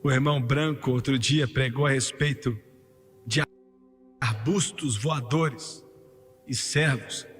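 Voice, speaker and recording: Fervent male preacher, high voice, long hall reverb, soft music under the sermon. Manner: emphatic preaching that climbs to fervent, shouted peaks, with joking asides. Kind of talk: sermon, voiced over in translation.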